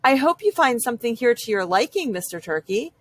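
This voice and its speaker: Woman acting out welcoming lady moose, storybook hostess, delighted manner, highish voice, very animated. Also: brisk, no echo.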